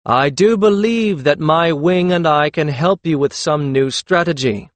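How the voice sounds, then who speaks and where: Man playing a commanding young dragon rider, raised pitch. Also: dry recording, lightly acted. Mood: pointed, persuasive. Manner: careful, deliberate enunciation, giving orders.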